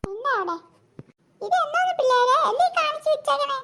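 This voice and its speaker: A performer voicing a brisk, exasperated young mother who admonishes in a comedy cartoon. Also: extremely high pitch, lively intonation, noisy background. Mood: exasperated, scolding.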